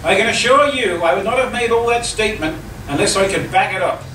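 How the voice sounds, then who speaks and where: A man playing a sly newspaperman with polite menace in a live comedy radio play, measured and insinuating. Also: sits high, lively intonation, pushed and bright. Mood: smug. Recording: echoey distant live recording, faint noise.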